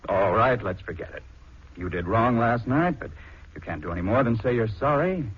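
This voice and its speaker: Husky, animated husband in old radio drama. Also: male voice, mid-range voice, moderate pace. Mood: coaxing, teasing. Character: easygoing, teasing husband, baffled by his wife. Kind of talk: 1940s radio drama acting. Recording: muffled old 1940s radio recording.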